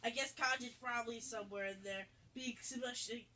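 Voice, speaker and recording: A woman's voice: fairly high pitch, fairly even intonation, moderate pace, pushed and bright, a little hiss or hum. A woman voicing a grumpy, put-upon pizzeria worker, a man who grumbles sarcastically, in a story reading. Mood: grumbling, sarcastic.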